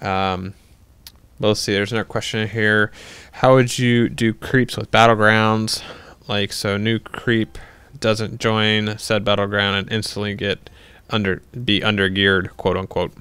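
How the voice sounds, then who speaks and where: A young man with a low, smooth voice chatting casually on a livestream. He rambles through viewer questions with plenty of ums and restarts and laughs easily. The sound is close and dry. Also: flat delivery, unhurried, a bit bright, dry recording. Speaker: livestream host.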